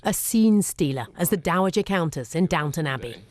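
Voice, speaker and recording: A woman's voice: fairly low pitch, expressive, fairly quick, darkish tone. A British woman news reporter reading a scripted obituary narration.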